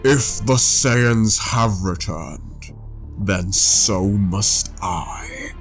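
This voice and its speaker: Grim old alien war veteran for an audio story, done by a man, drawn-out menacing lines, low register, music underneath. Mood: grim resolve. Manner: slow, grave, menacing delivery. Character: huge old battle-scarred alien warrior, grim and resolute.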